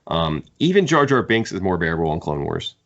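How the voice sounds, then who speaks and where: A man's voice: deep voice, very animated, fairly quick, fairly bright tone. A man co-hosting a podcast, discussing books casually in an opinionated, wry way. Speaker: podcast co-host. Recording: dull, band-limited audio.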